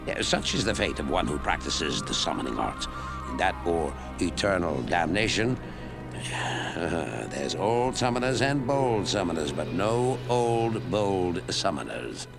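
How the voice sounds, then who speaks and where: A man's voice: low voice, sing-song, a little slow, gravelly, exaggerated voice. An actor playing a wry, weary, cynical townsman in a fantasy game, with a dry folksy delivery. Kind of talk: scripted fantasy game dialogue. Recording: music behind the voice.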